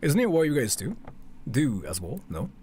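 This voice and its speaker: A man's voice: medium pitch, wildly swooping pitch, moderate pace, a little mellow, a little hiss or hum. A man hosting a livestream in a casual, blunt way, thinking aloud and swearing freely. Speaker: livestream host. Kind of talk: casual livestream reaction chat.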